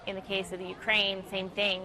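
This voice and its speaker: A woman who works at a zoo gives a short news interview. Her voice is bright and mid-pitched, and she speaks plainly and evenly. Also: monotone, brisk, low-quality recording. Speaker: zoo staff member.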